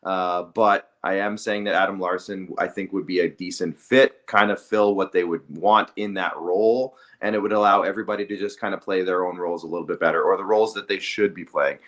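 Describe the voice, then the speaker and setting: A man's voice: low voice, steady tone, brisk, few pauses, a bit bright. A man co-hosting a sports podcast, talking casually and conversationally with some hedging. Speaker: hockey podcast co-host.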